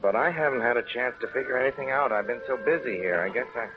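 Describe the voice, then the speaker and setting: A man's voice: medium pitch, fast talker, natural voice. An actor playing a wholesome, earnest, shy small-town man in a 1930s radio drama, on a tinny old recording. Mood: wistful, shy. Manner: plain-spoken, earnest. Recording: tinny phone-like 1930s radio, music behind.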